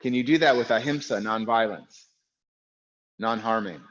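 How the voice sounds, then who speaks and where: A man guiding an online yoga class, with a loud, strong voice, calm and reflective, speaking in unhurried phrases with pauses. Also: lowish voice, highs cut off. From United States. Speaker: yoga teacher. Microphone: recorded for an online class video.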